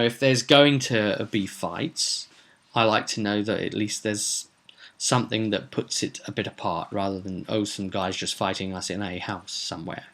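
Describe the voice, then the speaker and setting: A man's voice: lowish voice, creaky, bright, forceful tone. A man talking casually as a game master, recapping a roleplay session in a rambling, conversational way with some dramatic emphasis. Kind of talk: casual vlog recap to viewers.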